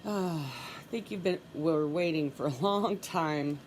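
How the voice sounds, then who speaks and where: A woman with a stuffy nose giving a solo tarot reading, calmly and softly repeating her requests as she draws cards. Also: low voice, a little slow, slight background noise. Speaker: tarot reader.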